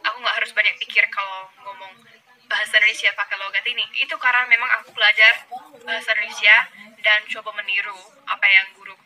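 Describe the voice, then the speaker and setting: A woman from Canada talking casually to her vlog audience in a lively, friendly way, laughing easily. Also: mid-range voice, fast, low-quality recording. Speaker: vlogger.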